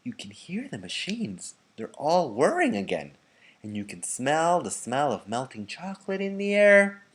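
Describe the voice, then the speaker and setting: Shrill, astonished townspeople from a children's storybook. The voice leaps far up high and swoops about, loud, strained and excitable, as if calling across a street. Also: male voice, a little slow, full character voice. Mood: excited, baffled. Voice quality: strained, very high-pitched shouting. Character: crowd of astonished, shouting townspeople.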